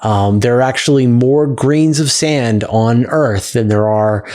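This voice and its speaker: A middle-aged man speaks as the host of a science question show. He explains things casually and conversationally, stressing the important words as he goes, at an ordinary male pitch. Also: measured pace, dark tone.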